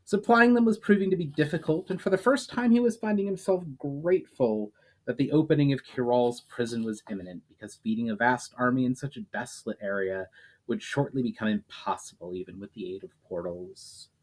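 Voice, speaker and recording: A man's voice: mid-range voice, brisk, rough recording. A man, an aspiring author, reading a chapter of his own fantasy novel aloud after a hesitant introduction full of fillers. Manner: hesitant intro with many fillers, steady storytelling read with small restarts. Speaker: self-published author.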